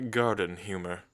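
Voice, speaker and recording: Casting: male actor as languid, world-weary immortal vampire for ASMR roleplay; lowish pitch, very unhurried, teasing elegance. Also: a bit bright, full character voice. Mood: suave, playful. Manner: slow, theatrical, suave, with musing pauses.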